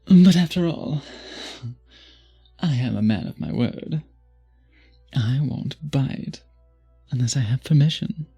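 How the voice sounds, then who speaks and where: A man voicing a charming, seductive vampire in a romantic ASMR roleplay, husky and breathy with a courtly, teasing manner, often dropping to a whisper. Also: mid-range voice, lively intonation, mellow, soft background music, exaggerated voice. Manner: slow, courtly, teasing, flirtatious. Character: charming, seductive male vampire at a masquerade ball.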